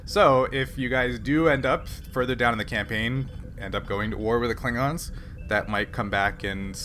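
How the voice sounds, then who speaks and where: Laid-back game master narrating. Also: male voice, mid-range voice, normal speed, a little hiss or hum. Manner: relaxed, explaining, laughs easily.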